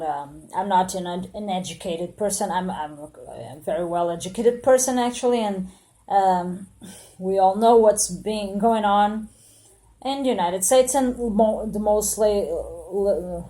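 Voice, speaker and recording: A woman in her late thirties, from Portugal, talks straight to the camera in a mellow, soft-edged voice at a middling pitch. She reflects earnestly, circling back on her words with frequent fillers and self-corrections. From Portugal, born in Angola. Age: 30s. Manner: hesitant, many fillers and restarts, earnest and reflective. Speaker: vlogger. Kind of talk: spontaneous monologue to camera.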